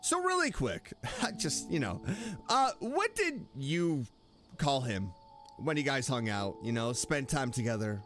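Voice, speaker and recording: A man's voice: medium pitch, very animated, a little slow, a bit bright. A man doing casual, playful gameplay commentary as a streamer, talking back to the game's characters. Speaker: streamer, gaming host. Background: faint game music.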